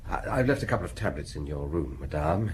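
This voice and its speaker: A man's voice: very deep voice, sing-song, fast talker. A man playing a solicitous, deferential doctor in an audio drama, polite, formal and a little hesitant. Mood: concerned, deferential. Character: solicitous, deferential male doctor attending a lady. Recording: clearly noisy drama recording.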